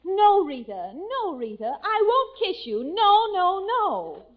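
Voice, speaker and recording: High, lilting coy radio secretary. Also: female voice, sing-song, slow pace, exaggerated voice. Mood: mock reproach. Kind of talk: 1940s radio comedy acting. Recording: muffled old radio recording, audience behind. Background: faint audience.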